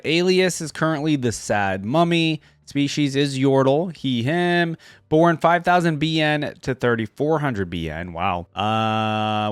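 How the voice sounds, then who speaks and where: Guy doing casual reaction commentary, mid pitched voice, chatty thinking out loud, really dry sound. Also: no echo. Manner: conversational, thinking aloud as he goes. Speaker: reaction video host. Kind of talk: casual commentary mixed with reading aloud from a wiki.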